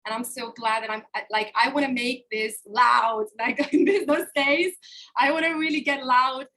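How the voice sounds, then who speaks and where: A woman's voice: high-pitched, normal speed. A woman in her thirties, a life coach, speaking openly and expressively to a live online workshop from a hotel room over a webcam. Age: 30s. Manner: expressive, open and personal.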